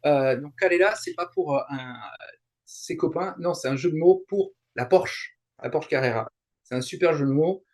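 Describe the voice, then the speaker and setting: Higher-pitched middle-aged man, halting casual explainer. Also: darkish tone. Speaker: guest, YouTuber and translator. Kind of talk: casual interview chat, explaining.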